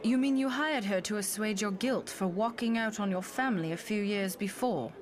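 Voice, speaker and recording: An actress playing a candid confidante in a motion comic, direct and questioning. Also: female voice, mid-range voice, moderate pace, a faint crowd, lightly acted. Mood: probing. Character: candid, probing female confidante.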